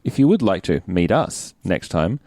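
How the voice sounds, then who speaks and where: A man's voice: low-pitched, big pitch swings, moderate pace, dark tone, no room sound, clean studio sound. An Australian man co-hosting a podcast in casual chat, speaking informally and encouragingly. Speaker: podcast co-host.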